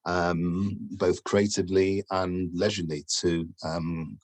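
Guest interviewee, middle-aged man from England, very low voice, dry close sound, halting delivery full of fillers. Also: flat delivery, darkish tone, no echo. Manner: halting, many uh fillers and restarts. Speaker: guest lecturer and entrepreneur. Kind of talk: casual interview answers.

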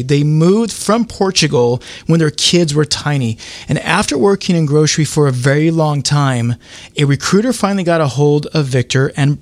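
A man's voice: on the high side, dry recording. A man from Brazil hosting a podcast, chatting upbeat and casually with his guests. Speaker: podcast host.

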